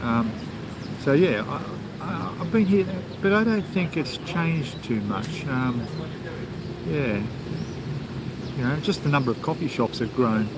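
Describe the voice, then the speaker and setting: A man's voice: a little high, animated, a little slow. A man with an Australian accent, hosting a casual travel live stream and answering viewer comments in a rambling, chatty way, with plenty of ums and restarts. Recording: clear outdoor background noise.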